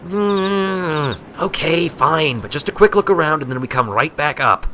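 A man's voice: on the high side, animated, a little slow, pushed and bright, slight background noise, pitched above the performer's own voice. A man voicing a cheeky, blunt young female pegasus pony in a storybook, wry, curt and reluctant. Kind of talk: storybook character voice.

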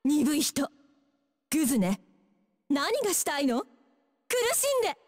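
A woman's voice: high voice, sing-song, unhurried, a little mellow, big-room sound. Cool, haughty, cutting female assassin. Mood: cold, mocking. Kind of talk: Japanese fighting game taunts.

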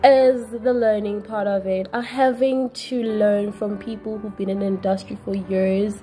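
Woman, from South Africa, mellow voice, relaxed, faint music. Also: mid-range voice, moderate pace. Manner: relaxed, uses fillers. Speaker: software engineer. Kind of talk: casual testimonial.

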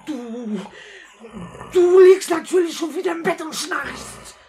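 A woman's voice: high-pitched, lively intonation, takes it slowly, warm tone, faint music behind. A woman playing a bossy, nagging wife in a 1950s radio drama. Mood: scolding. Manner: scolding, bossy.

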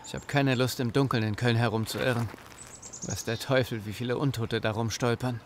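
A man's voice: mid-range voice, steady tone, normal speed, a little hiss or hum, lightly acted. A man playing a sceptical, cautious survivor in a horror audio drama.

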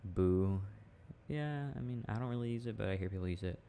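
A young man speaking in a playful, affectionate, halting murmur, like an intimate, casual ASMR boyfriend roleplay, with a deep gravelly voice. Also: mellow. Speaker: boyfriend roleplay audio performer. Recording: faint background noise.

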